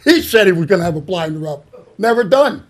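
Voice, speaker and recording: A man with a raspy, hoarse voice, a resident speaking at public comment in a planning board meeting. He sounds worried and insistent and keeps circling back to the same points. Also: high-pitched, animated, a little fast.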